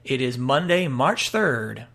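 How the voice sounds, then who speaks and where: A man's voice: mid-range voice, sing-song, slow pace, vocal fry, background noise. A man presents a live radio show in a mid-range voice. His delivery is unscripted and rambles loosely from point to point.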